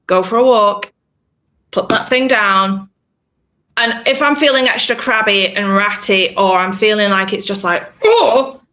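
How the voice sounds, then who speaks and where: Bright-voiced woman, animated and emphatic. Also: medium pitch. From England. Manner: animated, emphatic, acts out quoted thoughts. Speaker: PR consultant guest. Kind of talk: casual interview conversation.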